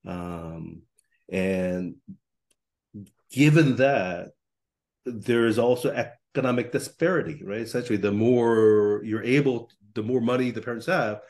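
A man's voice: lowish voice, measured pace, a little mellow. A middle-aged man from Korea, a professor speaking as a podcast guest, explaining things thoughtfully with many ums, hedges and restarts. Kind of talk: conversational interview.

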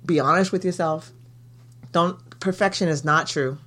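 A woman's voice: fairly low pitch, normal speed, a bit bright. Chatty podcast host woman. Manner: chatty, laughs often, reflective. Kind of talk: casual chat.

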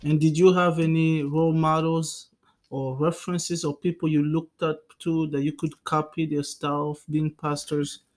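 A man with a somewhat high voice acts as podcast co-host. He speaks as an interviewer, putting questions to a guest. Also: little pitch movement, normal speed, a little mellow.

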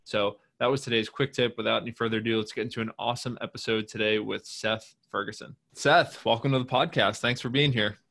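A man's voice: mid-range voice, fairly even intonation, a little fast, gravelly, few pauses, fairly bright tone. A man hosting a podcast, giving a tip and then asking questions in an upbeat, conversational way. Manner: enthusiastic, conversational, fillers. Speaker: podcast host. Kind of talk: podcast interviewing and tips.

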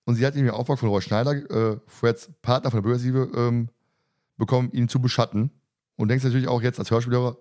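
A man's voice: mid-range voice, a little mellow. Casual male podcast host. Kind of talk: casual podcast chat, retelling an audio drama episode.

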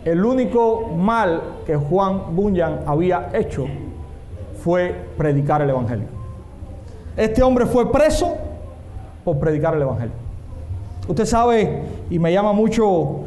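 A man's voice: sits high, expressive, slow, long pauses, warm tone. A man preaching a sermon, emphatic and often asking the congregation questions. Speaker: pastor. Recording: echoey room with faint noise.

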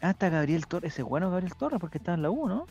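Chilean guy hosting a football podcast, jokey, slangy, gravelly voice, dull sound. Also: sits high, sing-song, fast, darkish tone, soft background music. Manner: joking, slangy, leads the questions. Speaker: host. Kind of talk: casual football podcast chat.

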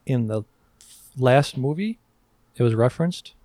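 A man's voice: medium pitch, animated, measured pace, warm tone, no echo. A man hosts a casual quiz among friends in a mid-range voice. The sound is close and dry. He reads clues like mock-olde verse and rules on answers with teasing firmness. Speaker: quiz host on a podcast.

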